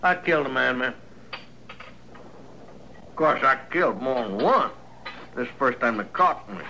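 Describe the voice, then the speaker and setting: A male actor playing a cocky, folksy outlaw killer with an American drawl and a gravelly voice in a radio western. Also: mid-range voice, lively intonation, normal speed, bright, forceful tone. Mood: boastful, menacing. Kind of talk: 1950s radio western acting. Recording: noisy vintage radio drama recording.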